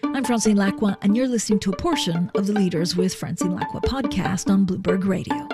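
A woman hosting an interview podcast, asking unhurried, curious questions. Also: medium pitch, steady tone, music in the background.

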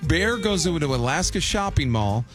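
A male radio host with a slightly high, very lively voice runs playful, upbeat banter, often repeating a line for emphasis. A clear music bed plays behind him. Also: moderate pace.

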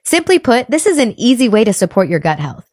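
A woman's voice: a little high, big pitch swings, fast talker, a little mellow, no room sound, studio quality. A woman reading a scripted product commercial in a friendly, explaining tone. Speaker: ad reader.

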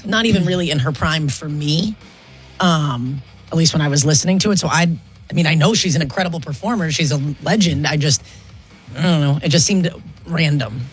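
Husky-voiced radio co-host reading texts. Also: medium pitch, brisk, faint music behind. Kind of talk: casual radio chat.

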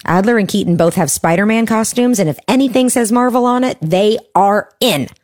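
A woman's voice: medium pitch, a little fast, a little mellow, dry recording. Mom-ish woman chatting through an ad read, dry close studio sound. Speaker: podcast co-host. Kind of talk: scripted ad read.

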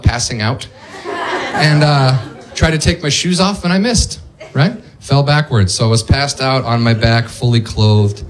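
A man in his thirties doing stand-up on stage, self-mocking and acting out quick voices. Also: mid-range voice. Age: 30s. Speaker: stand-up comedian. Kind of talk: stand-up comedy set. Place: comedy club stage before a live audience. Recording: live audience crowd noise.

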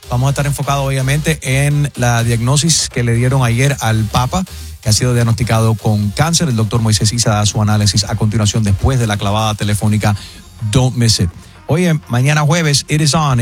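A man hosting a morning radio show, reading promos quickly and mixing Spanish with English phrases. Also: mid-range voice.

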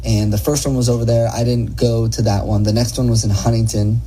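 A man's voice: mid-range voice, little pitch movement, brisk, dark tone, a little hiss or hum, rough recording. A man speaking casually as an interview guest, explaining things in an earnest, enthusiastic, rambling way. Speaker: interview guest, gondolier and athlete.

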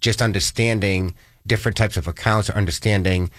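A man's voice: fairly low pitch, fairly even intonation, brisk, dry recording, clean studio sound. A middle-aged man, a financial advisor, explaining retirement planning step by step in a casual chat. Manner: explanatory, builds examples step by step.

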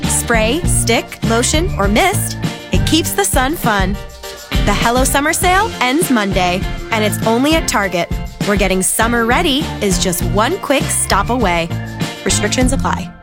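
A woman reading a cheerful retail ad in a bright, chirpy way. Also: on the high side, big pitch swings, measured pace, music in the background. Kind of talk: upbeat retail ad read.

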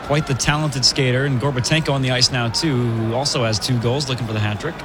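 A man's voice: mid-range voice, steady tone, background noise. Man calling hockey play-by-play. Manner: brisk, chatty, laughs easily. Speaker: play-by-play commentator.

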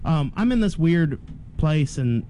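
A man's voice: on the high side, sing-song, unhurried, mellow, a little hiss or hum. A young man from Missouri with a South Midland accent, earnestly telling a story in casual chat.

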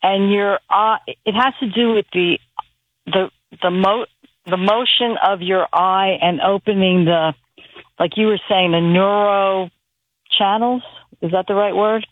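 A woman's voice: mid-range voice, fairly even intonation, a little slow, no room sound. Lady caller on phone, searching for words, mid voice, tinny n dry. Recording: muffled, narrow phone line on radio.

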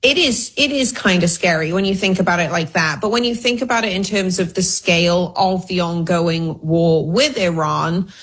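Husky-voiced woman giving news analysis. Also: on the low side, normal speed, few pauses, a bit bright. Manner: informal, wry, hedging explanations. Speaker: guest news researcher and commentator.

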